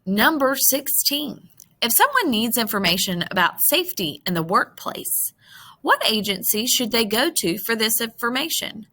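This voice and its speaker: Bright-voiced woman reading a quiz aloud. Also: on the high side, big pitch swings, moderate pace. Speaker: teacher. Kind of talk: reading a multiple-choice test aloud for students.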